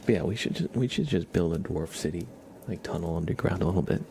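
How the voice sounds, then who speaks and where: A man hosting an ASMR livestream, speaking softly and casually to viewers in a gentle, rambling, hesitant way. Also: expressive, quick pace, background noise. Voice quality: soft, breathy whispering with creaky low undertone. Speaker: livestream host.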